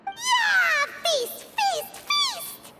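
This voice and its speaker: A woman voicing a tiny, excitable, childlike floating fairy companion in an anime video game. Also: very high-pitched, drawn-out words, heavy background noise, full character voice. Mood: chirpy, excited. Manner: speaks of self in third person.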